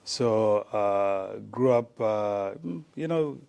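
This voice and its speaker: Man from zimbabwe, forties, lowish voice, halting, many fillers, casual interview guest. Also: darkish tone, clear, resonant tone. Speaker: guest, former rugby player.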